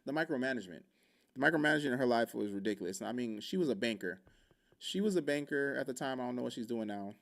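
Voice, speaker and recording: A man from Hawaii spins a casual story on a podcast, his voice sitting in an ordinary middle register with a gravelly, fry-touched texture. He tells it loosely and playfully, dropping in jokes and little vocal sound effects as he goes. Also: mid-range voice, quick pace. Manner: animated, joking, with vocal sound effects and laughs. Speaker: podcast speaker.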